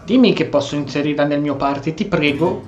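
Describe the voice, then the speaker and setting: A man's voice: a little high, fast talker, muffled recording. A man with an Italian accent giving casual, playful gameplay commentary, reacting aloud as host. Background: game music.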